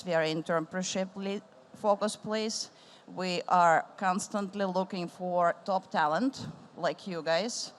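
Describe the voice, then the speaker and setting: A middle-aged woman moderating a live panel on a conference stage, speaking warmly and rambling with restarts. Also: on the low side.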